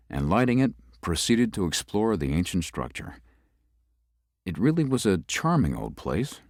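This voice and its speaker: A man voicing a young, composed city lawyer who narrates his own ghost story in a measured, slightly formal way. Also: extremely low pitch, darkish tone, no room sound, studio quality, exaggerated voice. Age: young adult. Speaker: narrator and lead. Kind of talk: first-person audio drama narration.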